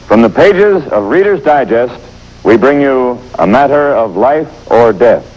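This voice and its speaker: A male announcer in the formal style of fifties television. He reads scripted introductions at a slow, measured pace, in a medium-pitched voice. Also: animated, a little mellow, dull audio. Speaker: program announcer. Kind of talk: 1950s scripted television narration.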